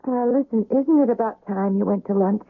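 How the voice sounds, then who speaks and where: A woman's voice: a little high, brisk. An actress playing a flippant, evasive woman hospital patient with a dry, playful manner in a 1970s radio drama. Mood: teasing, evasive. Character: wry, flippant woman heart-surgery patient, teasing and evasive. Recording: tinny old radio broadcast recording.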